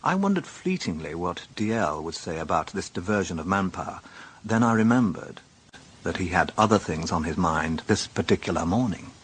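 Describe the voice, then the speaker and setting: Wry, low-voiced British police inspector. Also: male voice, very animated, moderate pace, slight background noise. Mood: wry, reflective. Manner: dry, sardonic. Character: wry, dogged male police inspector narrating his case. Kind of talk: radio drama narration and dialogue.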